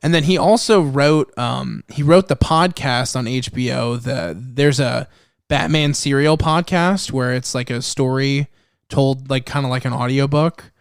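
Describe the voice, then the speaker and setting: A man's voice: medium pitch, measured pace, smooth, no echo, studio quality. A man hosting a podcast in casual chat, talking in an enthusiastic, opinionated way. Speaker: podcast host.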